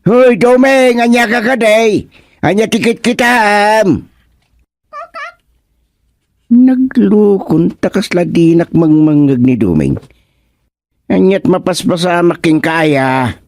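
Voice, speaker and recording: A bumbling, jumpy village man acted by a man in a comedy radio serial. He speaks slowly in a fairly high voice, stammering and exclaiming, recorded dry and close. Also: lively intonation, a little mellow, no echo, exaggerated voice. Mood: puzzled, concerned. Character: startled, bumbling village man talking to a frog. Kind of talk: comedy radio drama acting.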